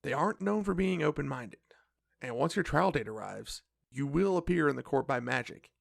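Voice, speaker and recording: A man acting a polite, earnest cafe owner in a fantasy audio drama, speaking in a measured way. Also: a little high, animated, gravelly, no room sound, studio quality, exaggerated voice. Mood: serious, explanatory. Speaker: voice actor in a fiction podcast.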